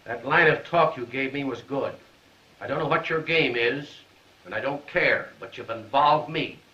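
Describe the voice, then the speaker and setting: Stern hotel boss, male actor: curt, no-nonsense, medium pitch, crackly 1930s movie sound. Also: moderate pace, pushed and bright, roomy sound, lightly acted. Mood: stern, threatening. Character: stern, shrewd, suspicious hotel manager. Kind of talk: 1930s film drama acting. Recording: noisy, muffled 1930s film soundtrack.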